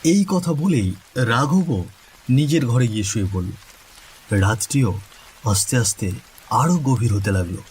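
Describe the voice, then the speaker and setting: A man narrates a suspense story at a very slow, deliberate pace, in a mid-pitched voice. Faint rain sounds sit behind him. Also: animated, darkish tone, a little hiss or hum, poor audio. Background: rain ambience.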